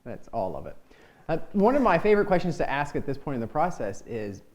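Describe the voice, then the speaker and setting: A man's voice: a little high, sing-song, fast. A man hosting a casual interview, putting questions to his guests. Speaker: interviewer, host.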